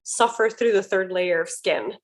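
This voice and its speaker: A friendly woman co-hosts a relaxed podcast over a video call, talking at a medium pitch. She rambles warmly and restarts her sentences often as her thoughts catch up. The talk feels like easy chat between friends. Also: normal speed. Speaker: podcast co-host. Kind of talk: casual chat. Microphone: video call recording.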